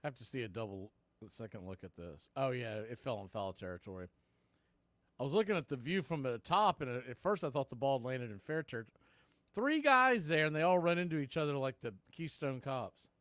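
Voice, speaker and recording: A man's voice: medium pitch, animated, a little fast, fairly bright tone, dry recording. A man doing live radio baseball play-by-play, with punchy clipped calls and conversational asides.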